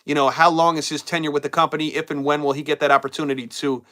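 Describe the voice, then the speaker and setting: A man's voice: on the high side, flat delivery, fast talker, sharp tone. A man hosting a live wrestling stream, chatting casually and reading viewer comments while getting over a cold. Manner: casual, loose, repeats himself and trails off. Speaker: wrestling show host.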